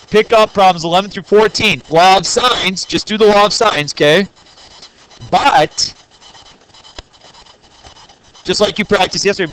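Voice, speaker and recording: Joking math teacher, fairly high voice. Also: male voice, a bit bright, low-quality recording. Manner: jokey, playful, talks straight to students. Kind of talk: classroom lesson recorded on video.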